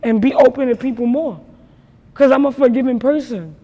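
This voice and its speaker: A young woman with a naturally raspy voice talks about her life in a relaxed interview, her stories emphatic and full of slang. Her voice rings with a long reverb, as if she is in a big hall. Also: high-pitched, brisk, highs cut off. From New York. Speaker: rapper guest. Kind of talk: casual interview talk.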